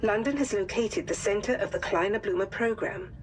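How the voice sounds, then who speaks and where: A woman playing a composed wartime intelligence officer in a game, delivering formal, grave, measured mission briefings. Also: mid-range voice, slight background noise, natural voice. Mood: grave, urgent. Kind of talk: scripted dramatic briefing narration.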